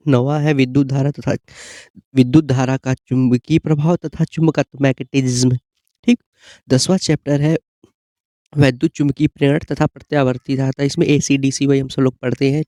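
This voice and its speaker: A man gives an informal explanatory exam-prep lesson, often pausing to check that listeners follow. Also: medium pitch, warm tone, no echo, studio quality. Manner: repeated check-in tags like "okay?".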